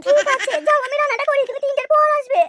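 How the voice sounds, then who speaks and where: Very squeaky, sweet-talking cartoon housewife. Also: female voice, fairly even intonation, fast, sharp tone. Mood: insistent. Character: affectionate, bossy married village woman. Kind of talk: cartoon comedy dialogue.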